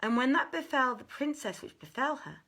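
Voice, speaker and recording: A woman voicing a devoted, scheming mother from an old tale, speaking in formal, archaic, deliberate phrases. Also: high-pitched, sing-song, gravelly, pushed and bright, pitched above the performer's own voice, exaggerated voice. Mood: pleading, confiding.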